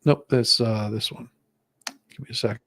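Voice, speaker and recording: A man's voice: medium pitch, steady tone, normal speed, fairly bright tone, no room sound. A man chatting casually on a livestream in a rambling, hesitant way as the host.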